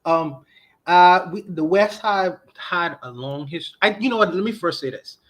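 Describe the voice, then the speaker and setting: Emphatic man with a slightly high voice. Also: measured pace. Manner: emphatic, repeats his points, frequent restarts. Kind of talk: opinionated livestream discussion.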